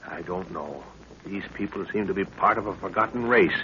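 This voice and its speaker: Older, deep-voiced expedition professor, radio serial. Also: male voice, normal speed, sharp tone. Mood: excited, cautious. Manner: commanding, switches into grand archaic speech. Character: authoritative older male professor leading a jungle expedition. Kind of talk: 1930s radio acting. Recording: muffled, noisy 1930s radio recording.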